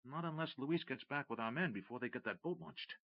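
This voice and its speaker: A man's voice: medium pitch, fairly quick, no room sound, lo-fi sound, pitched above the performer's own voice, natural voice. A man voicing a bold young male thief leader who talks in an urgent, commanding way. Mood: urgent hush.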